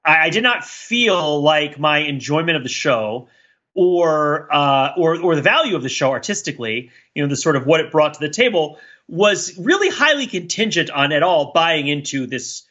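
A man co-hosting a podcast, talking casually and thinking aloud in halting, animated bursts. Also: on the high side, highs cut off. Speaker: podcast co-host. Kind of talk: casual discussion of TV and film.

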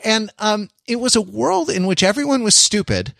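A man's voice: high voice, expressive, normal speed, vocal fry, fairly bright tone, no echo, studio quality. A man hosting a podcast in casual chat, talking in a wordy, discursive, wry way. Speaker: podcast host. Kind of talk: casual chat about TV shows.

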